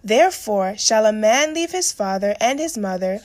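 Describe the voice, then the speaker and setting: A woman's voice: mid-range voice, expressive, normal speed, darkish tone, no echo. A woman reading scripted devotional words and scripture.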